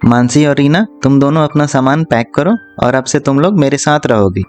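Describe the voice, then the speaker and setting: A kindly, protective uncle from a children's bedtime cartoon, played by a man. His voice is mid-pitched, firm and earnest. Music plays behind him. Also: moderate pace, a little mellow, close to an everyday voice. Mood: reassuring. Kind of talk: children's animated story dialogue. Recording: music under the voice.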